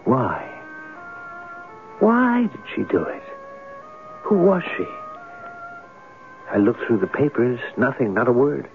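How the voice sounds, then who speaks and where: An actor playing an earnest, brooding newspaper writer, narrating and acting in a radio drama with measured, wistful storytelling. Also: male voice, medium pitch, huge pitch swings, gravelly, faint music behind, exaggerated voice. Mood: wistful, haunted. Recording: tinny, hissy old radio broadcast.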